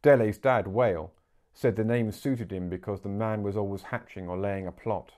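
A man in his forties reading a story aloud as an expressive audiobook narrator. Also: fairly low pitch, moderate pace. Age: 40s. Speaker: book narrator. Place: small living room, indoors.

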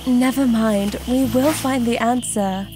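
A woman playing a solemn, ancient huntress in an audio drama, with formal, measured, archaic phrasing. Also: on the high side, steady tone, background noise. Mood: grave, steady.

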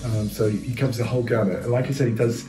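A man's voice: mid-range voice, steady tone, very fast. A middle-aged man from the UK sharing opinions on a show casually, with many fillers and restarts, recorded on a sofa in a living room by a far camera. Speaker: viewer giving opinions. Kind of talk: casual reaction review. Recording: roomy echo, faint music.

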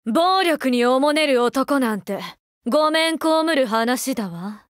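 High-voiced, doting, seductive mother figure. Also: expressive, unhurried, no echo, studio quality. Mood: tender, intimate. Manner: coaxing, breathy-whispering at times, archaic feminine phrasing. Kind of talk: Japanese mobile game character voice lines.

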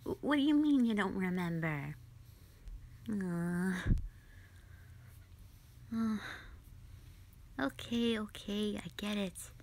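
A sulky young gamer girlfriend, acted by a woman in an intimate roleplay audio drama. She takes her time over her words in a medium-pitched voice, pouting and whining with a dramatic, tsundere streak. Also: expressive, a little mellow. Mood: whiny protest. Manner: whiny, pouty, drawn-out pleading.

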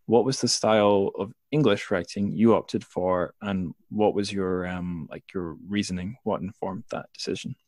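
A man's voice: deep voice, steady tone, normal speed, hardly any pauses, darkish tone, no room sound, studio quality. A man hosting a podcast, asking questions in a conversational interview style. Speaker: podcast host.

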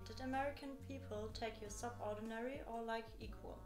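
A young woman, the host's roommate, reading out questions in a plain, unhurried way. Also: fairly high pitch, fairly even intonation, a little mellow, soft background music.

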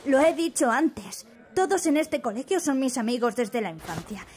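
A woman with an accent from Spain voicing a bubbly, teasing high school student in an anime fan dub, breezy and slangy. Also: expressive, normal speed, a little mellow, slight background noise. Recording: music bed under voice.

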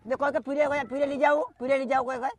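A woman's voice: high voice, steady tone, brisk. A woman in a heated argument on a phone call, scolding abusively and repeating herself.

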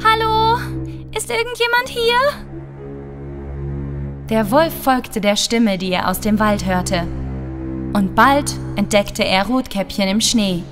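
A woman's voice: medium pitch, a little slow, music in the background. A woman narrating a scripted children's fairy tale as a storyteller.

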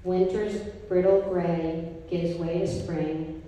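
A middle-aged woman reading a group poem aloud from paper pages at a gallery event, in a slow, measured recitation. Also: fairly low pitch, fairly even intonation, mellow, recorded from a distance. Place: art gallery, indoors, mid-sized room. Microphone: handheld mic, filmed from a distance. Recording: echoey gallery room, from afar.

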